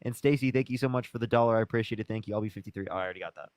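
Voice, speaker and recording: A man's voice: lowish voice, racing, a little mellow, dry recording. A male streamer rattling through donor thank-yous and jokingly mocking an opponent. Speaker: streamer co-host. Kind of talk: rapid donation thank-yous.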